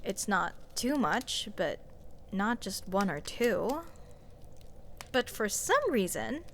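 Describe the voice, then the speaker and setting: A woman's voice: medium pitch, big pitch swings, fairly bright tone, slight background noise, heavily acted. A woman playing a snarky, exasperated sorceress adventurer in a fantasy comedy audio roleplay, sarcastic and nitpicky, stressing and stretching her words. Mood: sardonic, musing. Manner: sarcastic, scoffing, emphatic with drawn-out words.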